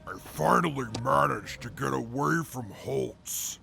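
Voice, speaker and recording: A man playing a proud, bookish interviewer in an audio drama, speaking in a pompous, formal, measured way. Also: medium pitch, creaky, bright, forceful tone, heavily acted. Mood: weary, dry. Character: haughty, proud, bookish male radio interviewer with a temper.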